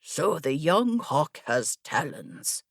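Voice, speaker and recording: A female narrator acts out a weathered old male rider from an audiobook tale. His voice is creaky and unhurried, with a wry, gruff manner, in a very dry sound. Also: sits low, big pitch swings, dry recording, pitched below the performer's own voice, heavily acted. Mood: wry, weary. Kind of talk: audiobook character dialogue.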